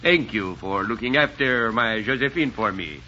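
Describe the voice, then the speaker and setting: An actor playing a smug, mock-polite, menacing gang leader in a 1940s radio drama. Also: male voice, lowish voice, expressive, moderate pace, bright, forceful tone. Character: menacing, mocking male villain, gang leader in wilderness. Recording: dull noisy 1940s radio recording.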